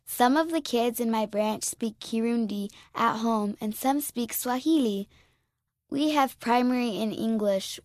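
A woman reads a children's magazine article in a bright, friendly tone. Her voice sits fairly high and sounds close and dry. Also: no echo. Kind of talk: scripted narration.

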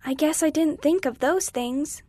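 Woman voicing an earnest, high-pitched young schoolgirl. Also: normal speed, no echo, clean studio sound. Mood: accepting. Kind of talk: children's story dialogue reading.

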